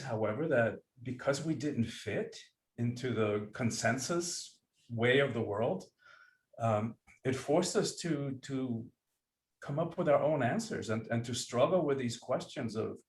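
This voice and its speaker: An earnest, reflective man from Cuba talks through his ideas on a video call. He pauses and restarts as he searches for words. His voice rings with a roomy echo at a middling pitch. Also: expressive. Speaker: guest author and breathwork teacher. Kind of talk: conversational interview. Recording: echoey room sound.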